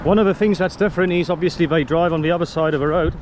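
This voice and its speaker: A man's voice: fairly high pitch, rapid-fire. A South African man in his thirties, an Afrikaans speaker, chatting and musing aloud as he walks a sunny street and films himself at arm's length. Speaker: travel vlogger. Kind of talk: walking tour commentary. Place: outdoors on a sunny suburban street. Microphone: handheld camera at arm's length. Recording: loud street traffic noise.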